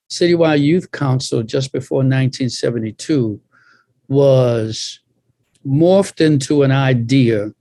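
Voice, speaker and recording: Male panelist, sixties, dark relaxed tone with little room sound, deliberately recounting personal memories. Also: mid-range voice, no echo. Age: 60s. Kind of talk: personal recollection in a panel discussion.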